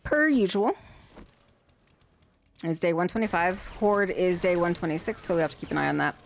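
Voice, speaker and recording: A woman's voice: on the low side, moderate pace, gravelly, a little hiss or hum. A young woman streaming a game from home, chatting to herself as she builds, her voice a little worn. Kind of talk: casual gameplay commentary.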